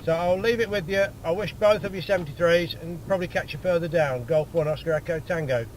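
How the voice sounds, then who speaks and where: A man's voice: a little high, fast talker. A British man in his sixties, chatting casually as an amateur radio operator over a noisy radio app link. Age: 60s. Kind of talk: casual ham radio conversation.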